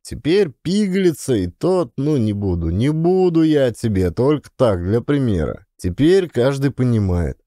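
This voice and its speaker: A man's voice: medium pitch, very animated, a little slow, few pauses, warm tone, no echo, studio quality, voice pushed up. A man voicing a talkative, earnest, optimistic working-class camp inmate in an audiobook, speaking colloquially and emphatically. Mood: earnest, emphatic. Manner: colloquial, emphatic, counting off points.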